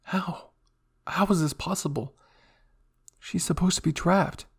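Man playing desperate, husky-voiced fighter's inner thoughts. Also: on the high side, measured pace, voice pushed up, exaggerated voice. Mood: dismayed. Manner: intense, swinging from whispers to strained cries. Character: mute, muzzled fighter's desperate, self-doubting inner voice.

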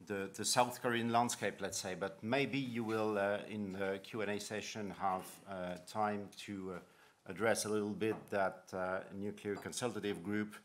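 A middle-aged man moderating a conference panel, halting and repeating words, with many fillers, into a table microphone. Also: on the low side, flat delivery. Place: conference room.

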